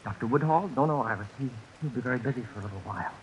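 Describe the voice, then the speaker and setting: An actor playing a fussy, courteous, murderous schemer in an old-time radio suspense drama. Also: male voice, mid-range voice, expressive, racing, close to an everyday voice. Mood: politely menacing. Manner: prim, courteous, hesitant. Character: fussy, polite, soft-mannered murderous male schemer. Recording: dull, hissy vintage radio recording.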